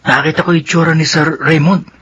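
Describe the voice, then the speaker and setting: Worried little brother in radio drama, raspy edge, kinda high, anxious. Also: male voice, fairly even intonation, fast, fairly bright tone, lo-fi sound. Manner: anxious, hesitant. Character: nervous, guilt-ridden younger brother, fearful and meek. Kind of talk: Filipino radio drama acting.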